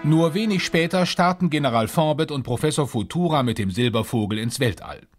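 A man's voice: on the high side, brisk, soft background music, close to an everyday voice. A man as the composed narrator of a children's sci-fi radio drama, reading scripted storytelling.

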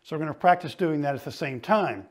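A middle-aged man coaching ski fitness, explaining each exercise calmly while he demonstrates it in a studio. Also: a little high, fast. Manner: patient, explanatory, talks while moving.

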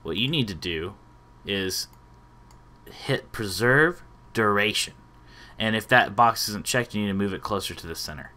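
A man, giving an informal step-by-step software tutorial as the host. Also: lowish voice, animated, moderate pace, pauses often.

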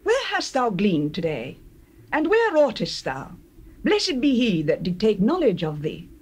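Expressive, wise older mother-in-law. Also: mid-range voice, husky, full character voice. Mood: tender, pleased. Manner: expressive, deliberate. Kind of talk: dramatized scripture, classical stage acting.

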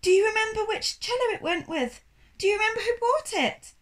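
Eager storybook girl played by a woman, insistent and anxious, very high, animated pitch. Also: brisk. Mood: urgent, pleading.

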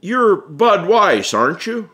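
A man's voice: high voice, sing-song, slow, bright, forceful tone, pitched above the performer's own voice, heavily acted. A man voicing a brash, teasing middle-aged celebrity with a breezy, swaggering manner in an audiobook. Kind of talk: audiobook character dialogue.

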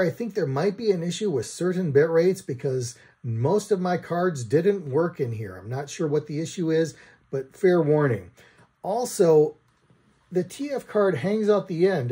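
A man's voice: fairly high pitch, mellow, breathy edge. A middle-aged American man presenting a product review to camera with wry, dry humour, recorded in a room at home. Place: small room at home, indoors.

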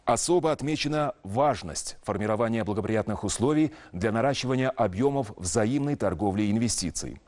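A man in his thirties reading scripted news as an anchor in a formal, official, measured manner. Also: lowish voice, a little mellow. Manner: measured, official, clearly enunciated delivery. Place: television news studio.

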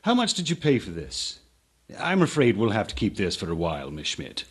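An actor playing a cool, authoritative military police major with a clipped, dry manner in a radio drama. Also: male voice, fairly low pitch, wildly swooping pitch, a little fast, slightly rough, exaggerated voice. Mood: official, cool.

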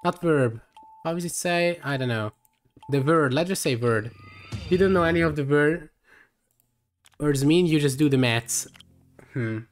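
A man's voice: on the high side, unhurried, pauses often, soft background music. A young man from Hungary with a Hungarian accent, streaming a game live and chatting in a rambling way with lots of fillers, tired late in the session. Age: young adult.

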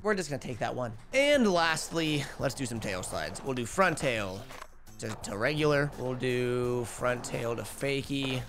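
Young man, gaming streamer, chatty, headset mic, slightly hoarse, light music underneath. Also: medium pitch. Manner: energetic, chatty, calls out tricks. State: losing his voice. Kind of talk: live gameplay commentary. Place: home streaming room.

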